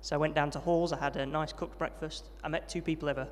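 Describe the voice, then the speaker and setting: A young man recounts his upbringing at a brisk pace and a slightly raised pitch. He is friendly and self-deprecating, with plenty of ums. His voice rings with the long reverb of a large hall. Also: fairly even intonation. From Yorkshire, England. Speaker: interviewee, trainee vicar. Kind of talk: testimony interview at a student event.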